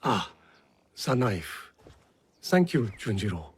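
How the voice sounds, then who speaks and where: Game role: kindly older temple man, raspy, slow, highly varied intonation. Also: very animated, exaggerated voice. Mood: wry encouragement. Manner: measured, gently wry. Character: kindly older male temple healer, wry and patient. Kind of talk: video game cutscene acting.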